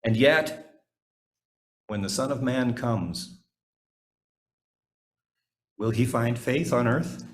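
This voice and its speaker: A man with a mid-pitched voice reads scripture aloud. He serves as a reader in a church service, delivering Bible passages. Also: slow pace, long pauses.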